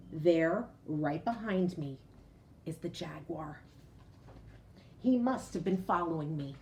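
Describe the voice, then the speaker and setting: A woman reading a picture book aloud to children like a teacher, expressive, pausing to explain and put questions directly to young listeners. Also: medium pitch, slight background noise. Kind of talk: storybook read-aloud with explanatory asides.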